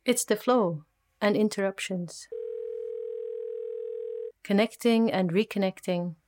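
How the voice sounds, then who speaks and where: Clear noise and clicks surround a woman acting as a matter-of-fact online meeting participant. Her voice is medium in pitch, in a radio play style. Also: slow, natural voice.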